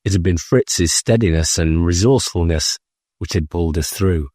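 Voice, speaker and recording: A man reading a first-person story as an audiobook narrator, in a measured, deliberate manner. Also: low voice, a little mellow, dry recording, clean studio sound.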